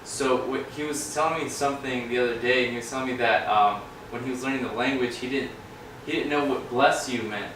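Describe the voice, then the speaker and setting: A man's voice: medium pitch, fairly quick, distant mic. Laid-back guy cohosting a podcast, mid voice, echoey and noisy room. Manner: relaxed, encouraging, frequent backchannels. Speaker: podcast co-host. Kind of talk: casual podcast chat.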